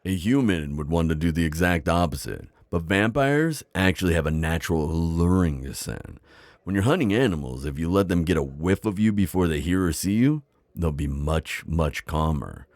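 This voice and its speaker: A man playing a kindly, wise vampire innkeeper in an intimate ASMR roleplay, deep-voiced, with a folksy, chatty and slightly hesitant manner. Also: expressive, normal speed, no room sound, clean studio sound. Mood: encouraging. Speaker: audio roleplay performer.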